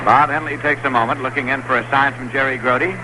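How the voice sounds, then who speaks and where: A man calling a baseball game play by play on the radio, brisk and excited on big plays. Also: mid-range voice. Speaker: play-by-play announcer. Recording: hissy 1960s radio broadcast.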